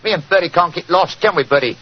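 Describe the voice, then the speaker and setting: A man's voice: sits high, fast talker, voice pushed up, natural voice. Cheeky Cockney workman, put on by a man, breezy, old-time radio acting, noisy muffled recording.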